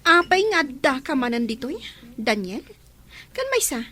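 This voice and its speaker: Woman doing a bratty teen daughter in a radio drama, really high bright voice, whiny and quick to argue. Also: sing-song, full character voice. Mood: irritated, haughty. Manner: whiny, petulant, argumentative. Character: defiant spoiled teenage daughter, hot-tempered schoolgirl.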